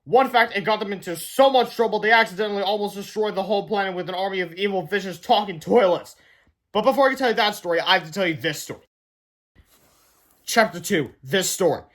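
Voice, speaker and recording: Male children's book reader, notably high-pitched, expressive storyteller with emphatic delivery. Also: quick pace. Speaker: read-aloud reader. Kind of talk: children's book read-aloud narration.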